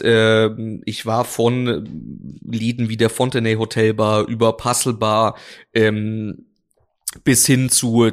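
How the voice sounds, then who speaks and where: A man, a drinks expert and interview guest, talking casually and rambling with many fillers and restarts. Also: lowish voice. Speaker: drinks brand ambassador, guest.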